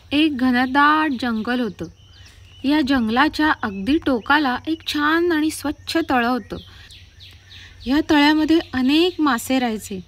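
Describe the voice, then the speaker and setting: A woman narrating scripted moral stories for children in an expressive way, speaking directly to them. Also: a little high. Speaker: children's storyteller. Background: background music.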